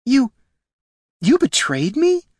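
A man voicing a naive young noblewoman in an audiobook, fretful and highly emotional. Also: huge pitch swings, takes it slowly, no echo, studio quality, heavily acted. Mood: hurt disbelief. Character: naive, panicky young noblewoman, tearful and indignant. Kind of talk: audiobook character dialogue.

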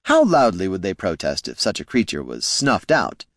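A man's voice: low voice, huge pitch swings, moderate pace, fairly bright tone, no room sound, studio quality, full character voice. A man voicing a sly, smug male gnome who talks with oily, mock-polite courtesy. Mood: insinuating.

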